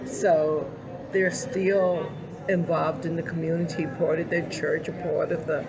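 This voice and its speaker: An older woman with a hoarse voice, speaking earnestly and reflectively as a guest in a conversational interview. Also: low voice, little pitch movement, measured pace, noisy background. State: hoarse voice after illness. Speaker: podcast guest, community leader.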